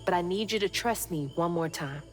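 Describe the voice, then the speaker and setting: A woman's voice: on the low side, normal speed, lightly acted. An actress playing a brave, determined young woman in a dramatic video game cutscene. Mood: earnest, pleading. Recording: music under the voice. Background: music.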